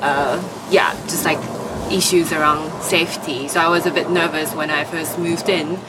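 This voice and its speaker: A woman's voice: low voice, steady tone, normal speed, heavy background noise. A woman in her twenties from Taiwan, answering interview questions hesitantly with many fillers. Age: 20s. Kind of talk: oral history interview.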